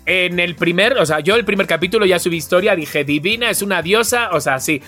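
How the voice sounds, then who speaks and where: A man's voice: high voice, brisk, pushed and bright, faint music behind. A man with an accent from Spain chatting casually and effusively as a podcast co-host, speaking with emphasis. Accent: Spanish from Spain. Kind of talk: casual chat.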